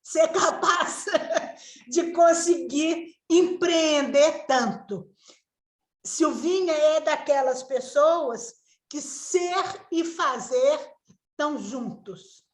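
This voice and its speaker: An older woman giving a slow, affectionate introduction of a colleague as a trainer. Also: high-pitched, reverberant. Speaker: trainer introducing a guest speaker. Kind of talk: warm spoken introduction.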